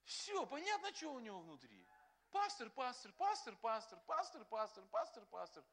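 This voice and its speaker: A man preaching a sermon as pastor, animated and acting out quotes, often laughing. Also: very high-pitched, normal speed. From Russia.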